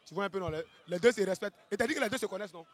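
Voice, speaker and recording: A man with an Ivorian accent giving colloquial live fight commentary, excitable, repeating phrases and adding tag questions. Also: sits high, racing. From Ivory Coast.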